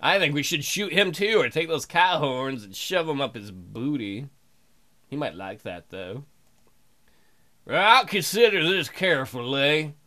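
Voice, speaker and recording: A man's voice: fairly high pitch, expressive, unhurried, bright, forceful tone. A man doing jokey, crude, rambling commentary as he plays and reads a game aloud. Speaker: let's play host.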